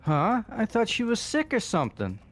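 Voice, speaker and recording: A man's voice: high-pitched, huge pitch swings, moderate pace, soft background music, full character voice. A man voicing a casual, slightly nervous young male character in a video game, with hesitant, plain-spoken delivery. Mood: uneasy, casual. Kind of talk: amateur voiced video game playthrough.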